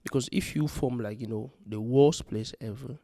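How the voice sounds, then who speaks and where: A man's voice: medium pitch, normal speed, creaky, mellow, no echo, studio quality. A man from Congo, talking earnestly and rambling in a casual vlog, full of "you know" fillers. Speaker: vlogger giving parenting advice. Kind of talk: casual spoken vlog monologue. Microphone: handheld or close mic.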